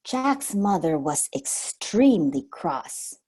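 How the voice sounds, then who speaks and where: A woman reading a storybook aloud like a preschool teacher, expressive and simply worded for small children. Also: fairly low pitch, takes it slowly, husky.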